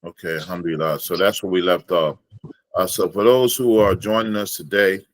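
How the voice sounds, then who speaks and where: A man speaking as a religious class teacher, lecturing informally with halting, often repeated words. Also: low-pitched, steady tone, dry recording.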